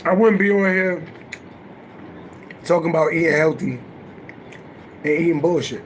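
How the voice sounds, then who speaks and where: A middle-aged man talks loosely on his livestream, his raspy voice sitting fairly high and sounding a little worn from singing. He swears casually and answers his viewers as he goes. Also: moderate pace, a little hiss or hum. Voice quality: deep voice. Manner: loose, profane, chatty, answers viewers' comments. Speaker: livestream host and astrology reader.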